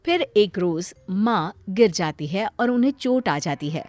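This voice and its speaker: A woman narrating a moral cartoon story in a simple, measured way. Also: mid-range voice, lively intonation, a little mellow, no room sound.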